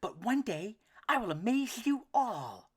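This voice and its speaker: Woman doing a high timid little duckling boy. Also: very animated, unhurried, slightly rough, exaggerated voice. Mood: earnest. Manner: high, childlike, earnest.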